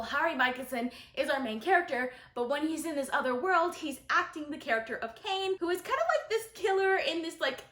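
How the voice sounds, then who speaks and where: A woman with a high-pitched voice talks casually to her viewers in a roomy, echoey space. She reviews books in a gushing, enthusiastic way and puts strong emphasis on her opinions. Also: pushed and bright. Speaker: book reviewer, video host. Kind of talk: casual book-tag vlog talk to viewers. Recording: roomy echo.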